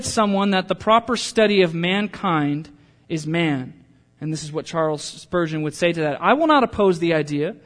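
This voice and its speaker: A man preaching a sermon as pastor, earnest, building to emphatic peaks and then dropping to quiet, intimate lines. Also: sits high.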